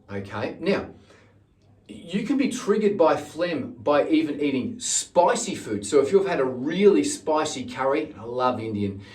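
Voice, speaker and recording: Middle-aged man, singing teacher, informal explainer, stresses key words, mid-pitched voice, roomy distant recording. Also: a little mellow. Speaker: singing teacher and channel host. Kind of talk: informal instructional talk to camera. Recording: roomy echo, distant microphone.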